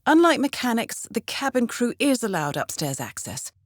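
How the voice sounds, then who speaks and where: An actress playing a composed, dryly witty intelligence handler in a video game, with a formal, precise, understated way of briefing. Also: female voice, on the high side, big pitch swings, moderate pace, no echo, clean studio sound. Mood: brisk, composed.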